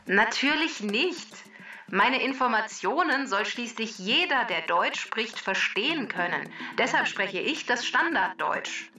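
Jackie, a woman voicing a bright know-it-all computer helper. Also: on the high side, lively intonation, measured pace, slight background noise. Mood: neutral. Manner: clear, precise, matter-of-fact. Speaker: explainer character on a children's show. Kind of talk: scripted educational narration. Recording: distant voice over background music.

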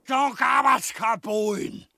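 An actor playing a pompous, bumbling tyrant with a Viennese accent in a grotesque comic radio drama. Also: male voice, very high voice, steady tone, slow, gravelly, dry recording, studio quality, heavily acted. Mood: grandiose. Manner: blustering, pompous. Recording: loud music behind the voice.

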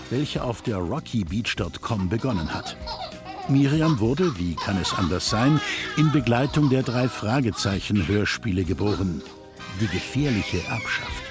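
A man's voice: medium pitch, expressive, unhurried. A man reading a written text aloud. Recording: music behind the voice.

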